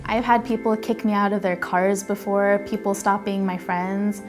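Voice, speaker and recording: A woman's voice: medium pitch, flat delivery, moderate pace, music in the background. A young woman from Mexico speaking candidly in a documentary interview. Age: young adult. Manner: candid, casual speech.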